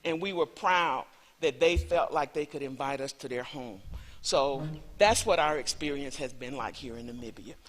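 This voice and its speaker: A woman's voice: rumbling low, normal speed. A woman from Alabama with a Southern US accent, giving a chatty, rambling conference talk as a visiting lecturer, full of self-deprecating asides. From Alabama, USA. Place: large lecture hall. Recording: reverberant hall.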